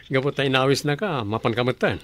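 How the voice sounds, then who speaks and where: A man's voice: mid-range voice, lively intonation, a little slow, a bit bright. A man in a radio drama playing an easygoing, affectionate young husband who talks mildly. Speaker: office worker husband.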